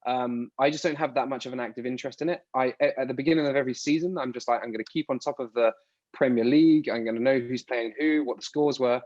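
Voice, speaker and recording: A man from the UK in his thirties talks casually as a podcast guest, circling back and restarting his words. His voice sits at a middle pitch and comes through a dull, lo-fi signal. The sound is dry and close. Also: fairly even intonation, fast talker, a little mellow, no echo. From United Kingdom. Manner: rambling, restarts words often. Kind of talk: casual interview answers.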